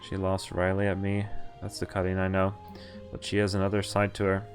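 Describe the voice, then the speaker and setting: Male streamer, low voice, reads visual novel text aloud with offhand reactions; faint music bed. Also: completely flat delivery. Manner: plain reading voice broken by quick reactions and chuckles. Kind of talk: reading game text aloud with casual commentary. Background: faint game music.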